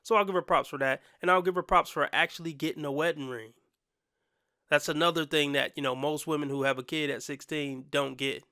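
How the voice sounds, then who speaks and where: A man's voice: a little high, steady tone, fairly quick, bright, forceful tone, clear, resonant tone, no room sound, clean studio sound. A man hosting a reaction commentary channel, blunt and opinionated with casual slang.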